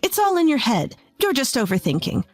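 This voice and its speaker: A woman's voice: sits high, sing-song, fairly quick, no room sound, pitched above the performer's own voice. A woman voicing a cruel, scornful older mother-in-law who scolds harshly.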